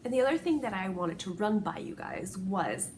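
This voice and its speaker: A woman's voice: mid-range voice, lively intonation, normal speed, darkish tone, slight background noise. A woman from Puerto Rico, a native Spanish speaker, chatting casually and a bit rambling as a crochet podcast host. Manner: chatty, rambling, self-correcting with small laughs. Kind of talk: casual solo podcast chat.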